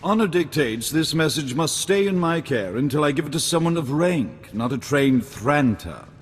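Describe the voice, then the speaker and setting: A man acts out an entitled young nobleman for a video game, with a somewhat high, animated voice. He is formal, pompous and quick to take offense. Long hall reverb surrounds him, with music underneath. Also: slight background noise, close to an everyday voice. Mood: haughty. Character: haughty, entitled young nobleman, easily outraged.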